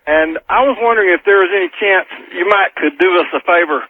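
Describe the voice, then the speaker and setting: Southern guy on a tinny phone line, highish voice, polite casual radio caller. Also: fast talker, dry recording. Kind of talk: casual call-in chat.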